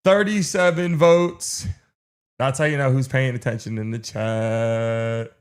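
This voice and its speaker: A man's voice: mid-range voice, fairly even intonation, takes it slowly. A man hosting a live stream, urging his chat along with insistent, repetitive calls and countdowns. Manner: urgent, insistent, repeating himself. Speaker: livestream host running chat polls.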